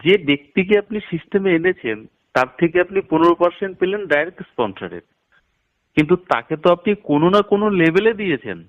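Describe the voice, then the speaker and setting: Male promoter, animated mid-range voice, instructional and emphatic, dull lo-fi phone-call sound. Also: on the high side, quick pace. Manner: instructional, step-by-step, emphatic with figures. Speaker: network marketing promoter. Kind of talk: explaining a business plan over a phone call.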